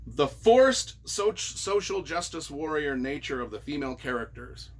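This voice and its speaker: Animated, high-pitched man reacting to reviews. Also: measured pace, rough recording. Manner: theatrical, emphatic, mock-exasperated. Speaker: author reading and reacting to reviews. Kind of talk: reading reviews aloud with off-the-cuff commentary.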